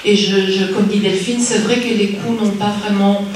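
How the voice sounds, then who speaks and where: A woman who speaks French as a second language answers at a panel, pausing and restarting as she searches for words. She sounds far from the microphone, in a very echoey hall. A faint hiss sits under her voice. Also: medium pitch, fairly even intonation. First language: Dutch or another language, not French. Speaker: exhibitions director at a federal arts institution. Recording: distant mic in a reverberant hall with faint noise.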